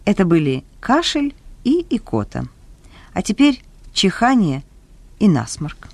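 Calm lesson narrator, native russian woman, lively intonation, dry close sound. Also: medium pitch, a little slow, no room sound. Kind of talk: scripted narration.

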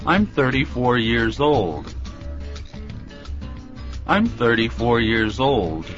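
A man reading model sentences slowly and deliberately for a language drill. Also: medium pitch, sing-song, a bit bright. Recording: dull lo-fi recording with music.

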